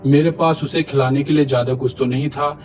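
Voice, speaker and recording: A man's voice: medium pitch, fairly even intonation, a little fast, lo-fi sound, noisy background, close to an everyday voice. A man playing a humble, kindly poor village husband in cartoon story dialogue. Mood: earnest, deferential.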